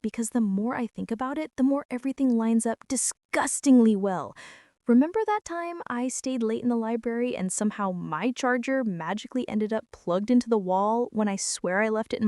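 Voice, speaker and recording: A bossy college girl with a secret crush, acted out by a woman, speaks in a slightly high, mellow voice, very close and dry. She is dramatic and flustered, teasing her listener as in an intimate girlfriend ASMR roleplay. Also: no room sound, natural voice. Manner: dramatic, teasing, breathy whispers mixed with indignant exclamations.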